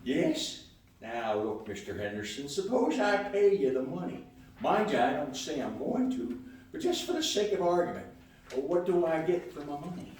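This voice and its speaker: A man performs a genial old grandfather, folksy and stubborn, in a live radio play. His voice sits fairly high and moves around a lot, heard from a distance in a very reverberant room. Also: sing-song, a little mellow. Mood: affably stubborn. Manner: folksy, plainspoken, unhurried. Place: live stage performance in a large echoey room. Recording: very roomy, distant live hall recording.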